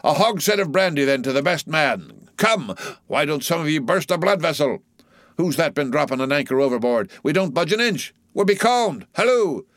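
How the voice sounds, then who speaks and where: A man's voice: medium pitch, animated, moderate pace, fairly bright tone, dry recording, studio quality, pitched above the performer's own voice, close to an everyday voice. A man voicing a jocular, blustering whaleboat mate in an audiobook, hectoring his crew with exclamations and rhetorical questions. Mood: blustering urging. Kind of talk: audiobook storybook character voice.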